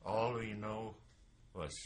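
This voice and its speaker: An elderly man speaks in a very deep voice, slowly and haltingly, often repeating a word before going on. He gives a testimony while seated in his living room. A faint hiss of room ambience sits under the voice. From Carpathian Ruthenia, Czechoslovakia. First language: Yiddish. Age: older. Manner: slow, halting, repeats words while recalling dates. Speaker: Holocaust survivor giving testimony. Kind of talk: recorded oral history testimony. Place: living room at home with bookshelves.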